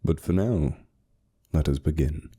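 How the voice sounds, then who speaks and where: A man narrating a scripted horror story like a dramatic audiobook, in a measured, deliberate and ominous way. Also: very deep voice, dark tone, breathy edge.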